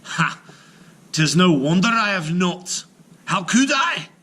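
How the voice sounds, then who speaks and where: Storybook giant played by a male reader: bullying, boastful, loud, with a raised pitch and forceful edge. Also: very animated, takes it slowly, husky, full character voice. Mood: scornful. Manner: shouting, boastful, exclaiming.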